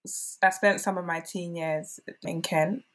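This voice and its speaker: Woman, 20s, podcast guest, slightly low voice, chatty, filler-heavy. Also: steady tone, unhurried. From England. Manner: chatty, peppered with "like". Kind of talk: casual conversational storytelling.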